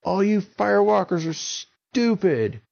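A man's voice: mid-range voice, slow pace, gravelly, pitched above the performer's own voice. A man doing a rude, angry boy. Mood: angry, defiant. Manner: loud, snapping, stubborn. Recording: dull lo-fi recording.

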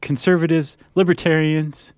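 A man's voice: a little high, flat delivery, normal speed, darkish tone, smooth, no room sound. American man, casual podcast co-host, close dry sound. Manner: wry, joking asides. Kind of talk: casual conversational explainer.

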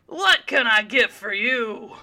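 A woman's voice: fairly high pitch, animated, slow, bright, forceful tone, voice pushed up, exaggerated voice. A woman voicing a young waitress who is prim and disapproving, hesitant at first and then indignant. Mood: chirpy.

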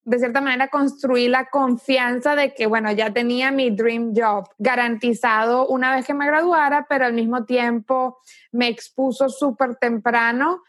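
A woman in her thirties from Venezuela, with a Venezuelan Spanish accent, telling her story as an interview guest and pausing with "eh" and "¿no?" tags. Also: a little high, fairly even intonation, a bit bright, dry recording. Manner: thoughtful storyteller, frequent "¿no?" tags and "eh" pauses. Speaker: tech startup founder, guest. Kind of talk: conversational interview answers.

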